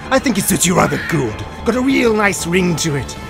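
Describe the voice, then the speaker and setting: A man's voice: high-pitched, sing-song, fairly quick, vocal fry, exaggerated voice. A man voicing a sadistic, theatrical supervillain clown in a motion comic, drawling and mocking with menace and sudden outbursts. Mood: mocking, gleeful. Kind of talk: dramatic motion comic voice acting. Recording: music behind the voice. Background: music.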